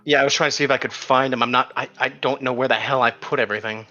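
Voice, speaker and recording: A man's voice: medium pitch, steady tone, racing, bright, forceful tone, lo-fi sound, faint music behind. A man running a tabletop game as game master, casually narrating and recapping the story with some swearing.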